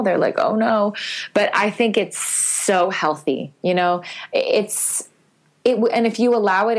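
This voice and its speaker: A woman guest on a podcast interview talks candidly and thoughtfully, with many fillers, and grows animated at times. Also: mid-range voice. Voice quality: sweet singing voice.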